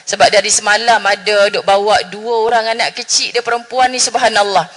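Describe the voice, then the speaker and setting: Middle-aged woman preacher with a northern Malaysian Malay accent; bright, animated, playful with the crowd; long hall reverb. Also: medium pitch, a little fast. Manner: chatty, jokes with the audience, Arabic phrases. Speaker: religious speaker. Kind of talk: lively religious forum talk. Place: mosque hall.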